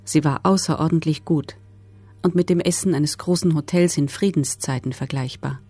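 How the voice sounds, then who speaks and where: Female prisoner witness, slightly low voice, factual statement, radio drama, very dry studio sound. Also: moderate pace, mellow, breathy edge, no room sound, soft background music. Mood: sober, factual. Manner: sober, factual. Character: privileged female camp prisoner soberly giving testimony.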